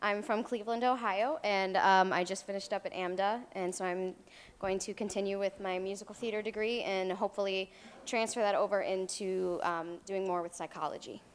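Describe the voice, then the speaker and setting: A woman's voice: medium pitch, fairly even intonation, moderate pace, a little hiss or hum. A woman who studies musical theater, introducing herself hesitantly with many fillers.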